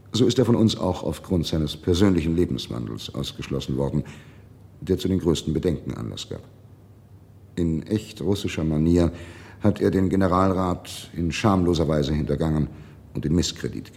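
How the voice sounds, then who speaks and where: An actor playing a disdainful, doctrinaire Marxist party functionary in a radio drama, speaking formally and officiously. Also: male voice, deep voice, lively intonation, normal speed, creaky, mellow. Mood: stern disapproval. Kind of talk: 1970s German radio drama acting.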